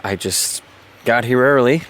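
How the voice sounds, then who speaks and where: An actor playing an awkward, self-deprecating artist in a naturalistic audio drama. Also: male voice, mid-range voice, measured pace, a bit bright, a little hiss or hum, close to an everyday voice. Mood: awkward, wry.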